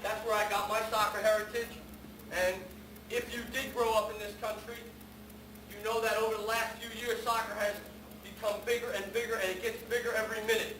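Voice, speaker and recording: A man presents a live demonstration. He speaks emphatically and repeats himself for effect. The sound is echoey and far from the microphone, with clear noise behind him. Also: high-pitched, little pitch movement, brisk. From New York City, the Bronx. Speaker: soccer performer and presenter. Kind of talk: live public talk with demonstration. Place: large room at a press conference. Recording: echoey hall, distant, clearly noisy.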